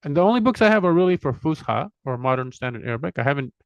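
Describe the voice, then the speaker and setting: A man's voice: fairly high pitch, lively intonation, racing, gravelly, clean tone, dry recording, muffled recording. Chatty middle-aged man, dry sound. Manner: chatty, digressive, self-deprecating, lists items one by one. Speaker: language channel host. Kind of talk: casual rambling monologue.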